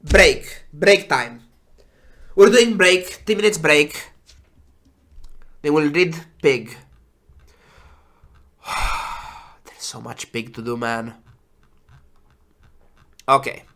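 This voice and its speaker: A man who is sick and congested, sighing and talking slowly while casually reading game patch notes on a stream. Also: fairly high pitch, a bit bright. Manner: slow, sighing, trailing off. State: congested with flu.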